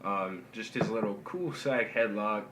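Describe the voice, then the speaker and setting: A man's voice: on the low side, unhurried. A man co-hosting a casual wrestling podcast, a little congested, chatty with stumbling restarts. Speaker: podcast co-host. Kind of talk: casual chat about wrestling. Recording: echoey room, faint noise.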